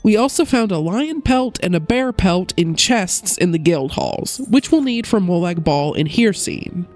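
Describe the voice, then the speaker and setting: A woman giving a steady, explanatory gameplay narration as a gaming video creator, her voice a little off while she recovers from a cold. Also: on the low side, measured pace, faint music behind. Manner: steady, explanatory rule rundown. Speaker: gaming YouTuber.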